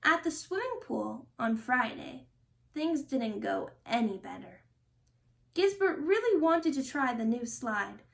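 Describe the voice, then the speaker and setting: A woman narrating a children's picture book aloud in an expressive, deliberate storytelling manner. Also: high-pitched, creaky. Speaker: storybook reader.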